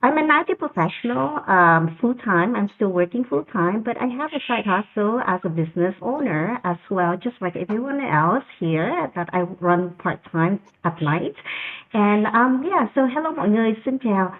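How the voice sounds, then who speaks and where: A woman's voice: medium pitch, animated, normal speed. Middle-aged woman on a tinny call line. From Vietnam. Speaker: guest. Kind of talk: self-introduction on a video call. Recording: tinny phone-like call audio.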